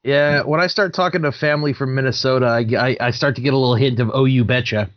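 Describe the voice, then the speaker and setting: A man's voice: mid-range voice, quick pace, no echo. A man in his thirties, a game master, chatting casually and animatedly, sometimes slipping into voices. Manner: animated, slips into character voices. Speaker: podcast co-host and game master. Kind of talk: casual chat. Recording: dry, dull lo-fi sound.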